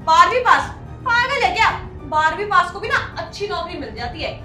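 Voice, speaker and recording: A woman's voice: very high voice, sing-song, a little fast, pushed and bright, full character voice. A young woman playing a haughty, cruel customer in a melodramatic skit, scolding with contempt. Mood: mocking, contemptuous. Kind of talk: melodramatic scripted Hindi skit acting. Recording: roomy echo, faint music behind.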